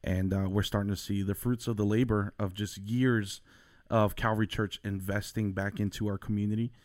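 New york guy, worship leader, low-ish voice, earnest and halting, dry close sound. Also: level tone, darkish tone, dry recording. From Long Island, New York. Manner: earnest, halting, many fillers. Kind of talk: casual podcast chat.

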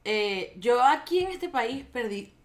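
A woman's voice: a little high, expressive, takes it slowly. A young woman with a Venezuelan Spanish accent, chatting casually with many fillers and frequent laughs. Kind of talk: casual chat.

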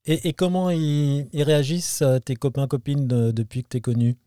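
A man's voice: medium pitch, fairly even intonation, normal speed, warm tone, clean tone, no echo, clean studio sound. A man hosting a radio interview, asking questions in a friendly, informal way. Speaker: radio host.

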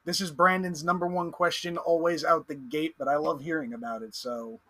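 A man of thirty-one hosts a podcast with a voice that sits a little high. He is laid-back and chimes in with easy, joking asides. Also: fairly even intonation, normal speed, crowd noise. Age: 30s. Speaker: podcast host. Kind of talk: casual podcast chat.

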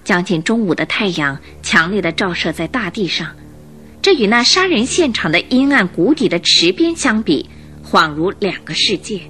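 Lady narrator on old radio drama, suspenseful storytelling, really expressive, music underneath. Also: mid-range voice, normal speed.

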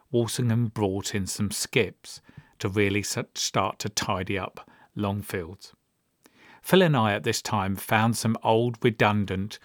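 A man's voice: fairly low pitch, a bit bright, no room sound. A middle-aged British man telling personal stories as a podcast host, with a dry, wry, understated manner. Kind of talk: personal storytelling monologue.

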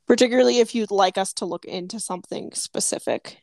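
A woman's voice: medium pitch, a little fast, vocal fry, a little mellow, no echo. A woman co-hosting a casual live audio chat as a tarot reader, chatty and giggly. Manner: chatty, giggly, self-conscious.

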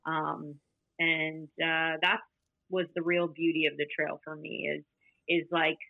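A woman speaking as a hiker guest in a podcast interview, reflective and measured. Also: deep voice, level tone, gravelly, no echo. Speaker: guest, long-distance hiker. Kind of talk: conversational interview. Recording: dull lo-fi, dry.

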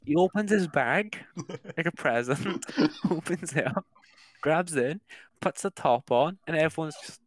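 A young British man speaks in a slightly high voice as a podcast co-host. His delivery is casual, like someone swapping stories with friends. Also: animated, unhurried, a little hiss or hum. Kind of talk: casual chat and storytelling.

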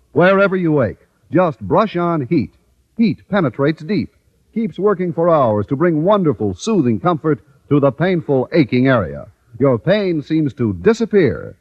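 A man's voice: on the high side, slightly rough, dull audio. A man working as an old-time radio announcer, reading scripted advertising and narration with emphatic polish.

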